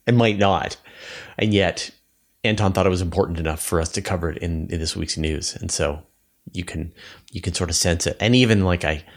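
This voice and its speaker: A man with a fairly low voice hosts a podcast. He talks off the cuff in an easy conversational style, as if chatting with a colleague. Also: brisk. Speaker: podcast host. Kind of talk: casual unscripted podcast chat.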